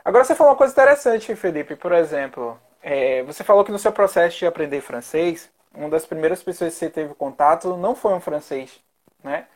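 A man's voice: a little high, lively intonation, fairly quick, a little mellow. Chatty man interviewing. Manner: chatty, frequent tag questions. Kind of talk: casual interview chat.

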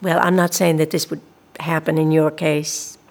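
A prim female investigator in a radio drama, her voice lowish and creaky. She speaks formally and officiously, hedging her words, with pious propriety and cool menace. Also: flat delivery, moderate pace, darkish tone. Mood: coolly advising. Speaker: actor in radio play. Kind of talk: naturalistic audio drama acting.

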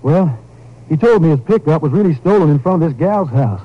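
Husky, folksy country rancher. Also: male voice, a little high, expressive, fairly quick, warm tone. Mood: sheepish, confessing. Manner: folksy, drawling colloquial, hesitant. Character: folksy rancher, hesitant friend covering for someone. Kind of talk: 1950s radio drama acting. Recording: dull 1950s radio recording.

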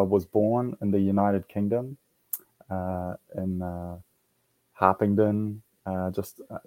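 A man's voice: lowish voice, mellow, no room sound. A man with a New Zealand accent, a guest in his forties telling his story on a radio talk show, hesitant with many fillers and restarts. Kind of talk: casual interview.

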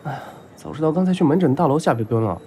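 A man's voice: fairly high pitch, expressive, rapid-fire, warm tone, a little hiss or hum. A man acting in an audio drama as a flustered, smitten young patient, stammering and whining his way through his lines. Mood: excited, smitten. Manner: stammering, whiny, exclamatory. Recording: music behind.

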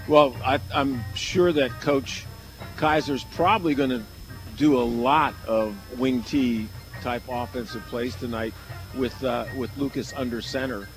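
A man giving unscripted football analysis as a radio color commentator, halting and repeating words as he thinks aloud. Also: mid-range voice, fairly even intonation, over music, poor audio.

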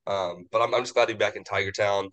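A man's voice: lowish voice, fairly even intonation, fast talker, fairly bright tone. A man co-hosting a sports podcast, a bit nasal after a cold, rambling casually. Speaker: podcast co-host.

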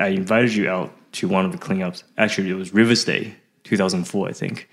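Podcast guest: middle-aged male, deep pitch, conversational, halting delivery with repeated words. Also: fairly even intonation. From Taiwan, later Australia and Canada. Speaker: podcast guest, fishing website founder. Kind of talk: casual interview chat.

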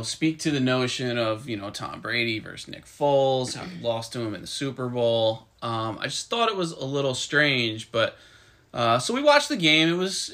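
Man, podcast host, bright tone, relaxed sports chat, fillers. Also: medium pitch. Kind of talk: casual sports talk podcast.

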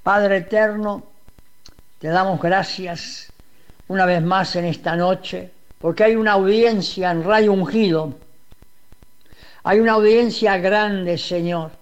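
A man's voice: high voice, steady tone, takes it slowly, long pauses, clear, resonant tone. A man leading a fervent radio prayer, pleading and repeating the address to God. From Uruguay. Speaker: radio preacher.

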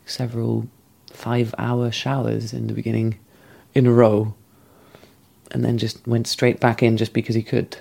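A man calmly and candidly recounting a past relationship in a thoughtful, measured way. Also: medium pitch, gravelly. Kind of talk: reflective personal storytelling.

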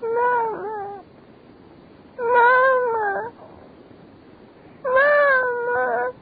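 An actor in an old-time radio horror play, playing a panicked military ruler whose mind is slipping toward childhood, with a halting, repetitive stammer. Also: male voice, extremely high pitch, steady tone, vocal fry, full character voice. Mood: childlike terror. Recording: muffled, hissy old radio recording.

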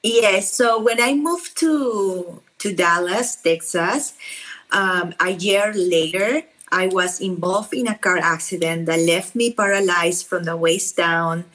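Slow-speaking Peruvian woman, halting. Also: on the low side, a bit bright, poor audio. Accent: Peruvian Spanish. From Peru. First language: Spanish. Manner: halting, searching for words with fillers. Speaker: podcast guest. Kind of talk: interview conversation. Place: at home.

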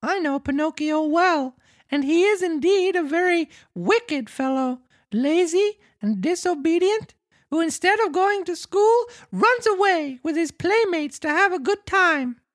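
Storybook marionette boy, played by a woman, very high-pitched, animated, exclamatory, dramatic. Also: unhurried, no room sound, clean studio sound. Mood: earnest. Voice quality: squeaky. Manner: exclamatory, theatrical storybook delivery. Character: frightened, dramatic young wooden boy marionette.

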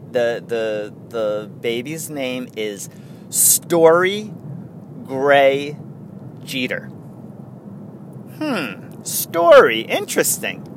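A man's voice: mid-range voice, a bit bright, a little hiss or hum. A man with a New York accent hosting his own podcast in a rambling, casual way, chuckling and restarting his sentences. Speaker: podcast host. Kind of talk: casual solo podcast chat.

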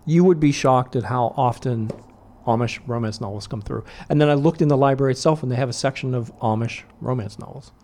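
A man's voice: medium pitch, brisk, darkish tone. A man hosting a radio show, chatting casually in the studio, laughing often and teasing his co-host.

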